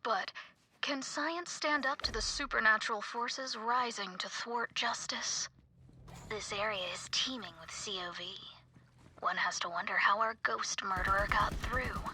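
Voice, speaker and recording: Excitable raspy young podcaster, very bright. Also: female voice, on the high side, unhurried, heavily acted. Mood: dramatic, ominous. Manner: theatrical, breathless dramatic narration. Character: excitable young woman true-crime podcaster hunting ghosts. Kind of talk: video game voice acting.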